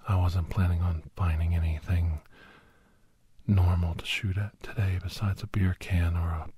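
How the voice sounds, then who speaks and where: A man playing a weary, guilt-ridden older doctor and veteran hunter, whispering a slow, halting confession in a breathy, husky voice as an ASMR audio story. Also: deep voice, little pitch movement, mellow, no room sound, clean studio sound. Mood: grave, reflective.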